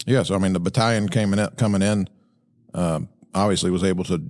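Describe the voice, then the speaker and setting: A man giving conversational commentary as a podcast host, explaining things in a halting, stop-and-start way. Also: low-pitched, steady tone, darkish tone. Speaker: podcast host breaking down fire radio traffic.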